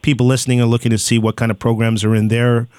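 A man's voice: mid-range voice, flat delivery, a little mellow, smooth, no echo. A man hosting a radio interview, talking with many hesitations and "uh" fillers. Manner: hesitant, fills pauses with "uh". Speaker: radio host.

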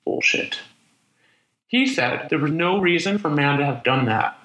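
A man acting in a radio play as a lonely, weary survivor of a disaster, musing aloud in a halting, conversational monologue. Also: a little high, lively intonation, echoey room, loud music behind the voice, low-quality recording. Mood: indignant, reflective. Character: lonely, weary, reflective male survivor wandering after disaster. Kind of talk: dramatic monologue, spoken like an audio diary.

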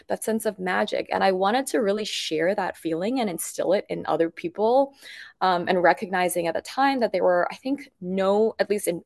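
Low-voiced woman, chatty interview guest. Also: gravelly, darkish tone, no room sound, studio quality. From Thailand, grew up in Bangkok. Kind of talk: conversational interview, personal storytelling.

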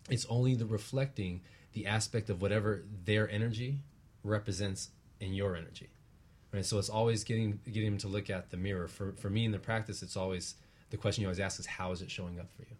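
A man with a fairly deep, husky voice talks as a guest teacher. He explains spiritual ideas earnestly and conversationally, often ending a thought with a quick tag question to check the listener follows. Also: fairly even intonation. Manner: earnest, explanatory, often tags phrases with "right?". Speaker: guest, relationship practitioner and teacher.

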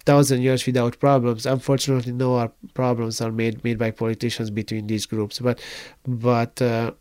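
A man from Transylvania, telling a story in a halting way, with many fillers and restarts. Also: medium pitch, little pitch movement, a little mellow, clear, resonant tone, dry recording. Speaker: interviewee. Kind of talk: casual conversational storytelling.

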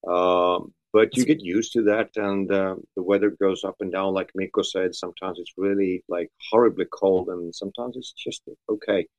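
Guy from austria chatting on a podcast, kinda low voice, rambly and jokey. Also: steady tone, moderate pace, hardly any pauses, poor audio. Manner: chatty, joking, restarts often. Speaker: guest musician. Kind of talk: casual podcast chat.

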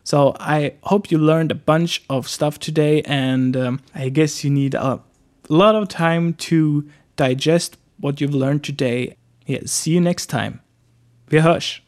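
A man teaching a casual spoken language lesson, relaxed and chatty, repeating phrases slowly. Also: a little high.

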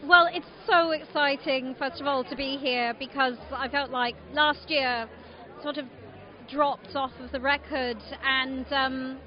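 A woman, a former prize winner, speaking effusively and enthusiastically at a dinner. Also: sits high. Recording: dull audio in a noisy crowd.

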